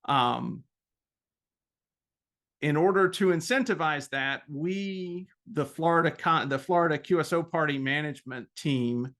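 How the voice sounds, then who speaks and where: A man giving an informal explanatory presentation as a club president, hesitating often with halting phrasing and frequent ums. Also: on the high side, fairly bright tone.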